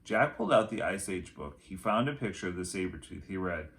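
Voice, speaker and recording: Male teacher, storybook narration: fairly low voice, highly varied intonation, dramatic phrasing for children. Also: lively intonation, brisk, creaky, fairly bright tone. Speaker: teacher reading aloud to children. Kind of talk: storybook read-aloud narration.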